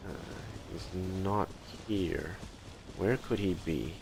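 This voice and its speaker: A man's voice: low voice, slow, vocal fry, noisy background. A man giving casual livestream commentary on a video game, muttering to himself as he plays. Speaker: livestream host. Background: video game music.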